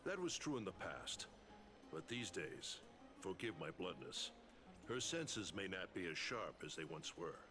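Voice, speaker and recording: A man's voice: low voice, sing-song, unhurried. A man playing a courteous but blunt, wary and seasoned guardian in a video game. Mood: grave warning. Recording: game music playing behind the voice.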